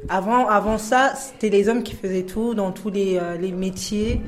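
A woman's voice: medium pitch, moderate pace, soft background music. Female vox-pop interviewee, medium register, explanatory, thoughtful with hesitations. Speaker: street interviewee. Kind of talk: spontaneous opinion, explanatory.

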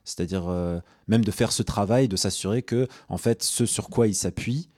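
A man from Tunisia, speaking as a guest on a radio talk show, often pausing and restarting his sentences. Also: on the low side, darkish tone, no room sound, clean studio sound. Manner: hesitant, many restarts and fillers. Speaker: guest activist. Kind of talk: radio discussion.

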